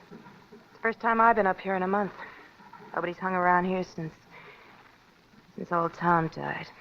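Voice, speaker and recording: A woman's voice: lowish voice, lightly acted. An actress playing a flirtatious, world-weary waterfront woman in 1940s radio noir, languid, teasing and slangy. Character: heavily made-up waterfront dame, flirtatious, world-weary, wry. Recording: dull, noisy old radio recording.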